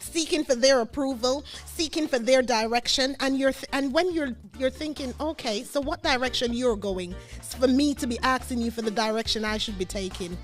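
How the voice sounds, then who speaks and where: Emphatic woman with a higher-pitched voice, music behind. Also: a little fast, hardly any pauses. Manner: emphatic, repeats phrases for emphasis. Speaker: radio host. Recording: background music under the talk.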